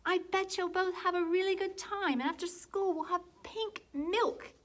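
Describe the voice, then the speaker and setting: A woman reading aloud and speaking the lines of a patient, coaxing big brother in a storybook, in an upbeat and gently persuasive way. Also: extremely high pitch, unhurried, slight background noise, voice pushed up.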